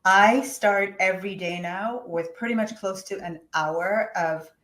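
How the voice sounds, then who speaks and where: A woman from Jamaica answers interview questions over a video call in an earnest, reflective manner. Her voice sits at a medium pitch, and the room around her carries a noticeable echo. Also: measured pace, fairly bright tone. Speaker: guest, business coach. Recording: roomy echo.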